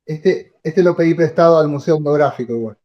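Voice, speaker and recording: A man's voice: on the high side, brisk, lo-fi sound. A man chiming in during a conversation with short remarks and agreeing sounds. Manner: brief agreeing interjections.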